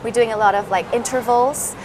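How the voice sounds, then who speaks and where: A woman's voice: a little high, steady tone, fairly quick, background noise. A woman from Singapore, a fitness instructor, explaining her classes in an interview with many "uh" fillers. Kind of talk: interview answers.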